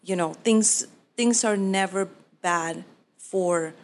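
A woman's voice: medium pitch, slow pace. A woman, giving earnest, reflective interview answers with frequent restarts. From Peru. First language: Spanish. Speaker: mortgage professional.

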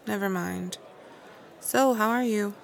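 An awkward, apologetic young woman reunited with old friends in an audio drama. Her voice is creaky and mid-pitched, with hesitant, everyday phrasing. There is noticeable background noise around her. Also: a bit bright, heavily acted. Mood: uneasy, guarded. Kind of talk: naturalistic audio drama acting.

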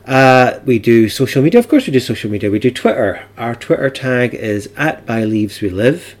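A man's voice: mid-range voice, sing-song, normal speed. A man hosting a podcast, talking informally in a wry, playful and chatty way. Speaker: podcast host.

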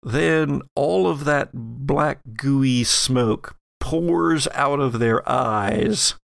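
Gravelly man narrating a horror game. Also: medium pitch, drawn-out words, dry recording, studio quality. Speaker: game master.

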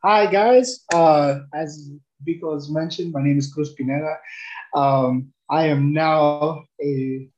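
A young man from El Salvador tells his own story in a loose, rambling way, often stopping to restart. His voice sits a little high but sounds mellow and warm. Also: fairly even intonation, takes it slowly, muffled recording. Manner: rambling, with many fillers and restarts. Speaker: guest giving a testimonial. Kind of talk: casual testimonial chat.

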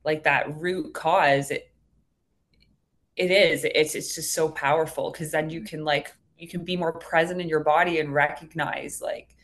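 A woman's voice: low voice, steady tone, a little slow. A woman speaking as a therapist guest in a casual interview, earnest, informal and reflective. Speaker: hypnotherapist guest.

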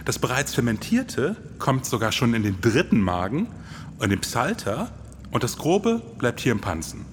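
A man's voice: medium pitch, big pitch swings, moderate pace, slight background noise. A man in his forties co-hosting a scripted, performative podcast in an enthusiastic, colloquial manner. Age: 40s. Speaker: podcast co-host. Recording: long reverb, music underneath.

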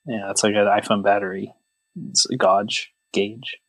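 A man's voice: on the low side, little pitch movement, moderate pace, a bit bright, no room sound, poor audio. A man co-hosting a podcast, chatting casually about films in a wry, mock-serious way. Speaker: podcast host. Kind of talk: casual joking film chat.

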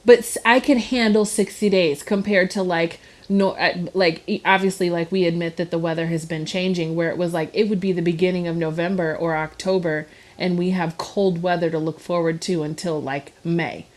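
A woman co-hosts a casual podcast. Her voice sits a bit low for a woman. She rambles in a loose, giggly way, full of fillers. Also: steady tone, normal speed, few pauses. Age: 30s. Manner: chatty, giggly, heavy on fillers. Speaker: podcast co-host. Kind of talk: casual chat.

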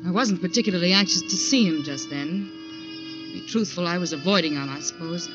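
A woman's voice: lowish voice, a little fast, natural voice. An actress with an American accent playing an anxious young career woman in a dramatic 1940s radio thriller. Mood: haunted, brooding. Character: anxious young career woman narrating her own haunting. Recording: dull 1940s radio recording with music.

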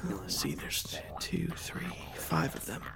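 A man's voice: lowish voice, very animated, takes it slowly, creaky, noisy background, heavily acted. A man playing a wry, scrappy space lieutenant in a science fiction audio drama, with a sardonic, self-muttering delivery. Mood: wry, uneasy. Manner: sardonic muttering to himself, sighing, breaking into shouts. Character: wry, scrappy male space lieutenant alone on a hostile ship. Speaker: narrating protagonist.

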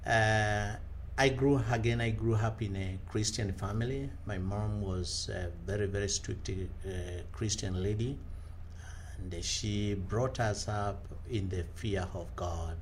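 Kenyan man, low voice, hesitant storytelling. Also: slow, slight background noise. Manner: earnest storytelling with frequent "uh" hesitations and restarts. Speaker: guest, leadership trainer. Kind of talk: conversational interview.

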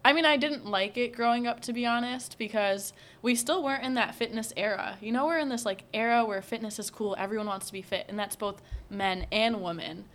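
A young woman telling personal stories in a casual chat, animated and laughing often. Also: on the high side, fairly quick, hardly any pauses. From United States, Iranian parents.

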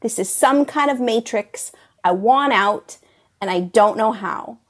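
Woman as a jaded, higher-voiced magic teacher. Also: a little slow. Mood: resigned. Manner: dry, weary, analytical. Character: jaded, restless female magic-school teacher seeking escape. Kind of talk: improvised comedy audio sketch.

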